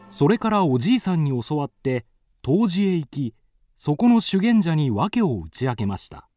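A man's voice: a little high, mellow. A man narrating a scripted ghost story in a polite storytelling manner.

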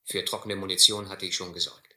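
A man playing an earnest gentleman who narrates his own ordeal at sea in a German radio play, measured and grave. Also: deep voice, level tone. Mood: grave, reflective. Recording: roomy, faintly hissy old radio play.

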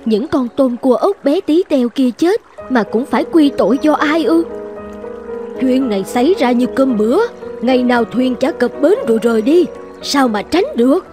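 A woman acts out a young mother in a cartoon, anxious and protesting. Her voice sits high as she pleads over background music. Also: animated, normal speed. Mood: puzzled protest. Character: anxious, plain-spoken young mother passenger. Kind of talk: Vietnamese cartoon dubbing.

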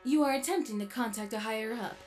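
A woman's voice: on the high side, quick pace, a little mellow, close to an everyday voice. A woman voicing a formal automated company system in a comic dub, procedural in manner. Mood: impersonal. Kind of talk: scripted announcement in comic dub. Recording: music behind the voice.

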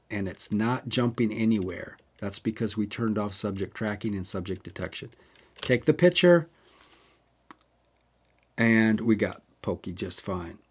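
A man hosting a camera tutorial, talking casually and walking through settings step by step with the odd digression. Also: fairly low pitch. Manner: chatty, digresses, explains step by step. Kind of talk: casual how-to walkthrough.

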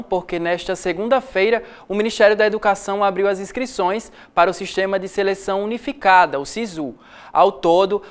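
A man reading the news as a studio anchor, in a steady, measured way. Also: high voice. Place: blue TV studio.

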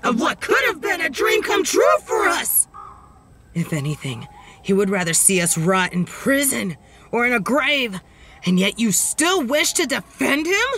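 A woman's voice: a little high, sing-song, unhurried, a little hiss or hum, full character voice. A woman voicing a bitter, sardonic, vengeful supervillain in a dramatic audio roleplay, theatrical and menacing. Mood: furious, indignant. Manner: theatrical, menacing, swinging from hushed to shouted.

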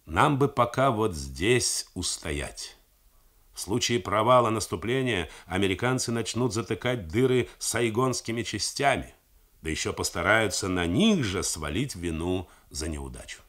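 Guy voicing a steady commanding general, lowish voice that rises and falls a lot, weighs every word. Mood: calm. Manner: measured, reasoning, steady. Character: deliberate, commanding male army general. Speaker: army commander.

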